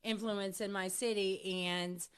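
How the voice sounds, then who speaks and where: A woman's voice: medium pitch, steady tone, takes it slowly, a bit bright, clean tone. A woman from Pittsburgh hosting a casual interview show, effusive and agreeable toward her guest. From Pittsburgh, United States. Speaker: talk show host.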